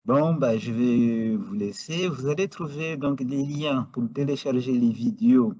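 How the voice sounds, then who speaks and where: Instructor, male, native Portuguese speaker; slow, hesitant walkthrough, mellow tone, roomy lo-fi recording. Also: mid-range voice. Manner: unhurried, step-by-step, frequent hesitations. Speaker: data science instructor. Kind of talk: screen-recorded software tutorial. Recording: roomy, dull lo-fi sound.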